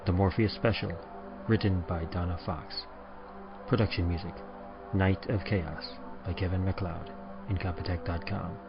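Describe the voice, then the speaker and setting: Deep-voiced man announcing over music. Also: fairly even intonation, moderate pace, vocal fry. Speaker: podcast narrator and announcer. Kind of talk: scripted credits announcement. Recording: dull, muffled audio.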